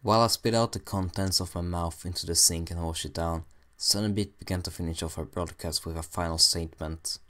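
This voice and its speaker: A man's voice: deep voice, steady tone, brisk, few pauses, fairly bright tone. A man reading a fan fiction story aloud in first person, hesitant and stumbling at times. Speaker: amateur story reader.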